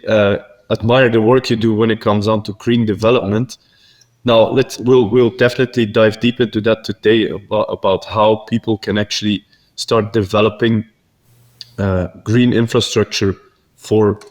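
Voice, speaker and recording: A man hosting a podcast, reading a scripted intro and then asking casual interview questions in an upbeat, friendly way. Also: on the low side. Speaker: podcast host.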